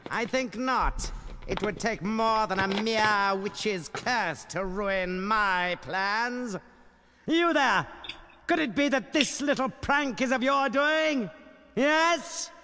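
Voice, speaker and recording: Haughty, menacing game bishop: high, deliberate delivery with long reverb. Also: male voice, sharp tone, full character voice. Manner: pompous, measured, menacing. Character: sinister, haughty male bishop, scheming villain. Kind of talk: video game voice acting. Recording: long hall reverb with noise.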